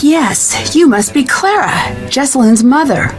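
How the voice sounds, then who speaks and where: A woman's voice: high-pitched, expressive, measured pace. An actress playing a confident, inquisitive young woman detective in a video game, polite, direct and probing. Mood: polite, curious. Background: faint music.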